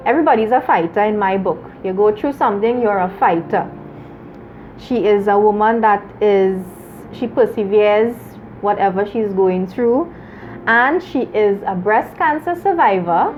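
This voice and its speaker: A woman hosting a talk show, earnest and measured, laughing often with her guest. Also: medium pitch, narrow, tinny audio, slight background noise. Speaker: host.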